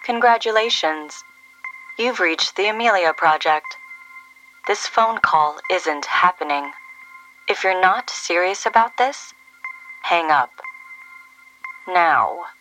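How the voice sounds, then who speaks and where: An actress playing a cool, cryptic answering-machine voice for a secret agency in an audio drama, measured, clipped and ominous. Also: female voice, fairly low pitch, animated, bright, forceful tone, soft background music, exaggerated voice, poor audio. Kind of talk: scripted audio drama phone greeting.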